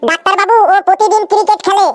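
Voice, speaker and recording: Squeaky, cheeky cartoon patient. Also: steady tone, very fast. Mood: earnest. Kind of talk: comedy cartoon skit dialogue.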